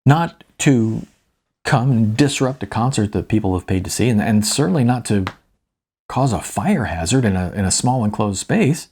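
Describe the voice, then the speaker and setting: A man's voice: on the low side, animated, normal speed, a little mellow, slightly rough. A man voicing an indignant, flustered young woman in an audiobook, protesting in a stammering way.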